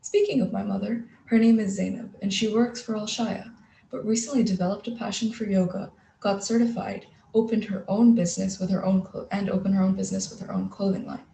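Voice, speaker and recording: Teen girl from Kuwait, Gujarati native, articulate speech, warm gravelly tone, echoey room. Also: mid-range voice, little pitch movement, a little fast, slight background noise. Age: teens. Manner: articulate, poised, polished phrasing. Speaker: youth speaker. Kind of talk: prepared public speech. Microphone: video call. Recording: echoey room over video call.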